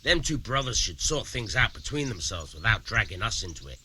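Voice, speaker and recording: An actor playing a shrewd, amoral village miller in a medieval video game, gruff, coarse and slyly persuasive. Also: male voice, lowish voice, sing-song, brisk, sharp tone, slight background noise, heavily acted. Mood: grumbling. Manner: sly, persuasive, coarse-tongued. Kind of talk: video game dialogue acting.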